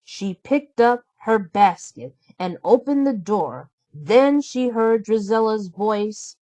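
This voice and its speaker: A woman reading a children's storybook aloud as narrator, in an expressive, deliberate storytelling manner. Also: mid-range voice, no echo. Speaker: storybook reader.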